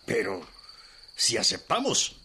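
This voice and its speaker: An actor playing an evasive, ingratiating older government envoy in a radio drama, with a Mexican accent and a hesitant, placating manner. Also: male voice, medium pitch, huge pitch swings, takes it slowly, bright, forceful tone, a little hiss or hum, exaggerated voice. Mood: pleading, defensive. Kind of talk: Spanish-language radio drama acting.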